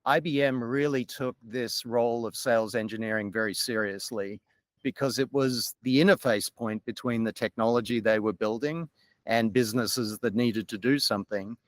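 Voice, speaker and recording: A middle-aged Australian man, a podcast guest, talking in a measured, articulate way about his career. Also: mid-range voice, no room sound. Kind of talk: podcast interview.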